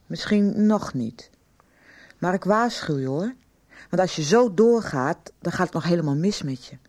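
A direct worried lady doctor in a radio soap, soft mellow voice, goes up and down a lot. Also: lowish voice, expressive. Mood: stern, concerned. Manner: direct, insistent, deliberate questioning. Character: probing, concerned female doctor questioning a teenage patient. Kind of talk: Dutch radio soap acting.

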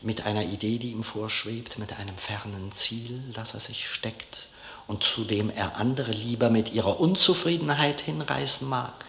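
A man playing a reflective diarist in a 1950s radio play, reading and narrating deliberately in a literary style. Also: lowish voice, vocal fry, bright, forceful tone, slight background noise. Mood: solemn, declamatory. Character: reflective male diarist reading a memorandum aloud. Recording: echoey room, 1950s radio recording.

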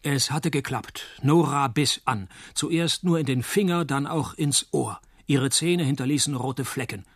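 An actor playing a hard-boiled, laconic private detective, delivering deadpan noir radio play narration and dry wit. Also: male voice, mid-range voice, measured pace, hardly any pauses, breathy edge, no room sound. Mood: dry, wry. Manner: deadpan, wry.

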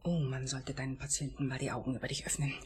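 An actress playing a disillusioned, sardonic wife of a psychotherapist, with cutting delivery in a German radio play. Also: female voice, rumbling low, little pitch movement, fast talker, darkish tone, husky, exaggerated voice. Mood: bitter, scornful.

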